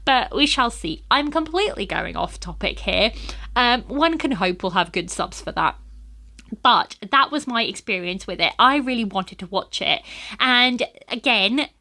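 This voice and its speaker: A woman's voice: fairly high pitch, lively intonation, measured pace, pushed and bright. A woman hosting a podcast in a chatty, rambling way, her first language Portuguese, a bit sniffly from a cold. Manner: chatty and rambling, frequent fillers. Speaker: podcast host. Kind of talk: casual solo podcast chat.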